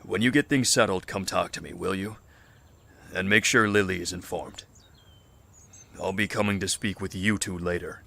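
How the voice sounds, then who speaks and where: An actor as a calm man in command in a zombie drama. His low voice is gravelly and forceful, with measured, polite firmness. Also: heavily acted. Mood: calm, firm. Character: calm, authoritative, tall male leader of survivors. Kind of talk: scripted audio drama acting.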